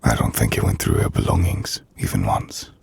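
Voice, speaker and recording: A man playing an ancient, brooding vampire lord in an intimate ASMR roleplay, speaking formally and drily in a gravelly, breathy near-whisper. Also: rumbling low, very animated, darkish tone, a little hiss or hum, full character voice. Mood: wistful, reflective. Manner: formal, measured, dry. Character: ancient brooding vampire lord, dryly teasing, courteous host.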